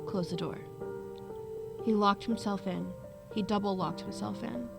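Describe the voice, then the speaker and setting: A woman narrates a radio drama from a script. Her voice sits at a medium pitch, with prominent music playing behind it. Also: unhurried, gravelly. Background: loud music.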